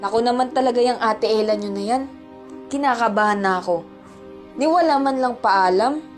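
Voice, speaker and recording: A woman's voice: fairly high pitch, moderate pace, close to an everyday voice. A woman playing an anxious, stern mother in an amateur radio drama. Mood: worried. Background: background music.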